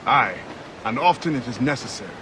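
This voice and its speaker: An actor playing a determined, commanding ship captain, a pirate turned assassin, in a dramatic video game. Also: male voice, mid-range voice, expressive, a little fast, background noise, close to an everyday voice. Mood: grim, resolute. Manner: commanding, resolute.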